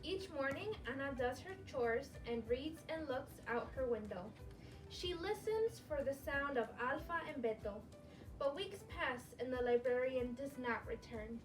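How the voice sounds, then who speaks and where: A woman teacher reading a picture book aloud to young children in an expressive, clearly phrased storytelling way. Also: high voice, measured pace, distant mic, soft background music, poor audio.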